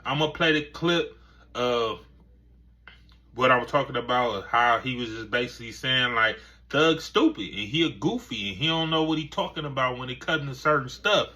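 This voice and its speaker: A man's voice: mid-range voice, lively intonation, normal speed, pushed and bright. A man hosting a casual commentary video, rambling with lots of filler phrases. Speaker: commentary channel host.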